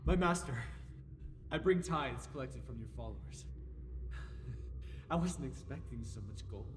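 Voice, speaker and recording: A man playing a devoted, fawning high priest of a dark god in an audio roleplay, stammering, reverent and overeager, with breathy delivery. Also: expressive, measured pace, a little hiss or hum, close to an everyday voice. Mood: smug, reverent.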